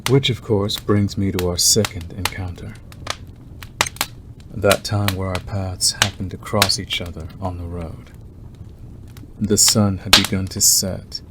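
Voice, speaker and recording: A man playing a predatory, obsessive male werewolf in an ASMR roleplay, talking in a deliberate, teasing way with drawn-out pauses. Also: deep voice, very noisy.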